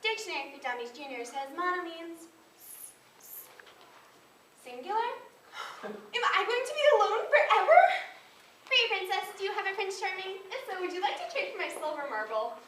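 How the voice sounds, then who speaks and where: A woman acts out a dreamy, dramatic little girl in a stage comedy, stretching words with childish emphasis. The voice is extremely high and sharp, recorded at a distance in an echoey room. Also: lively intonation, normal speed, long pauses. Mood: dreamy, eager. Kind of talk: stage duo interpretation at a speech showcase. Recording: echoey room, distant camera, faint noise.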